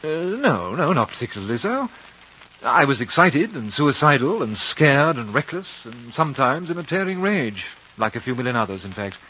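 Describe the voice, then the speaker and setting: An actor playing a courteous, world-weary war veteran in a 1950s radio drama, polite, earnest and reflective. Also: male voice, mid-range voice, big pitch swings, normal speed, bright, forceful tone, husky, exaggerated voice. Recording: dull, noisy old radio recording.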